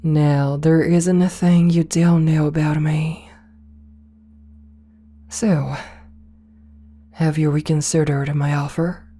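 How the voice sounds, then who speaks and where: A woman playing a possessive, domineering rich CEO in an ASMR roleplay, speaking softly and coaxingly with condescending sweetness, slipping into whispers. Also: sits low, little pitch movement. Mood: sweetly controlling. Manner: slow, soft, breathy coaxing, slipping into whispers.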